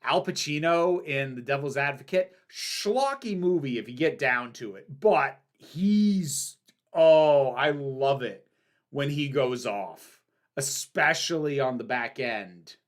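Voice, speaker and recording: A woman's voice: extremely low pitch, animated, slow. A woman hosting a casual livestream, reading out chat questions and answering candidly, with frequent ums and restarts. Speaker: livestream host.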